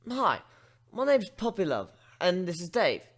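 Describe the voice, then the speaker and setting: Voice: mid-range voice, sing-song, a little slow, pitched above the performer's own voice. A man voicing a polite young female pony reporter in a story reading, tentative and courteous.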